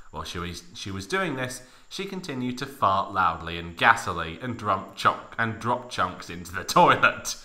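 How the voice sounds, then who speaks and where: Animated, forceful deep-voiced man narrating. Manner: mock-serious, theatrical, heavy emphasis. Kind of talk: dramatic story reading, comic.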